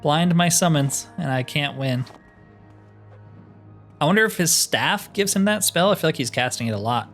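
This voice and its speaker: A man's voice: fairly high pitch, expressive, moderate pace, pauses often, a bit bright. Man thinking aloud over faint music. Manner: thinks aloud, wry grumbling. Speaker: gaming video host. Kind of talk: casual let's play commentary.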